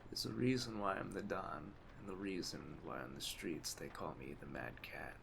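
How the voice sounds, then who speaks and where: Aging mafia don, played by a man: very deep, creaky, measured authority, streetwise ASMR roleplay delivery. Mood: stern, grave. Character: calm, commanding aging mafia boss giving orders. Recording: clear ambient storm noise behind. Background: storm ambience.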